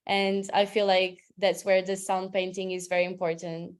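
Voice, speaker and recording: A woman with a Portuguese accent, a translator speaking informally in a webinar, chatty and quick to laugh. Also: mid-range voice, completely flat delivery, clear, resonant tone. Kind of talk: casual webinar talk.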